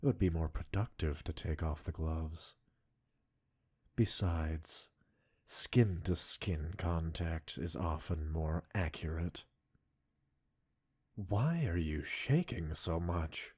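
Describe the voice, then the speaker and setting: A man playing an obsessive, coolly possessive mad-scientist captor in an intimate roleplay audio, speaking with deliberate, teasing dominance and a breathy huskiness. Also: low voice, darkish tone, heavily acted. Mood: teasing, clinical. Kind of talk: intimate scripted roleplay addressed to listener.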